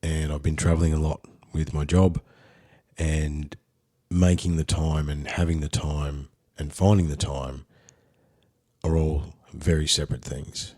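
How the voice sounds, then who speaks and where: Very deep husky Australian man. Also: flat delivery, a little slow, warm tone. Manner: laid-back, candid, chatty. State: unwell. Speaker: podcast host. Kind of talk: solo spoken intro.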